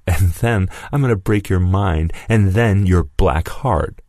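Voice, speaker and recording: A man voicing Lewis, an angry, vengeful former comrade who shouts accusations and whose voice cracks. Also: sits low, slow, dry recording, studio quality. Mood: snarling threatening.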